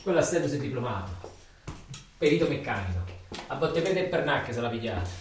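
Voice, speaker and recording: A man's voice: mid-range voice, sing-song, a little fast. Echoey, distant Neapolitan man performing a monologue. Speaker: stage monologue actor. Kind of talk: theatrical monologue, colloquial storytelling. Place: roomy interior, far from microphone. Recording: distant echoey room, faint noise.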